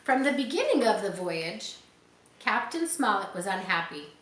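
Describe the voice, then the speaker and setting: A woman's voice: mid-range voice, moderate pace. Woman reading a children's story, echoey room. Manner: expressive, explains words to young listeners. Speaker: audiobook reader. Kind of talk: read-aloud children's storytelling with explanatory asides. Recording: roomy echo, faint background noise.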